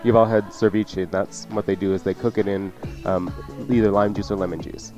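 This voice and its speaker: A man's voice: lowish voice, fairly even intonation, brisk, muffled recording, music in the background. A man hosting a cooking show, chatting through recipe instructions off the cuff with joking asides. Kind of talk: casual unscripted radio cooking instruction.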